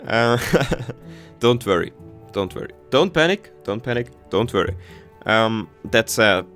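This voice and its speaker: A man's voice: fairly low pitch, animated, unhurried, fairly bright tone, faint music behind. A young man whose first language is German, chatting casually over his city-building game with many fillers and restarts. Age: teens. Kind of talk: casual gameplay commentary.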